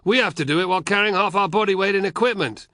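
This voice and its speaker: A crude legionary leers and jokes at the gate, a male reader playing him. The voice is pitched very high and loud, jeering and coarse, recorded close and dry. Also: fast, no echo. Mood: leering. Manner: leering, crude. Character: leering crude male Roman legionary, joker.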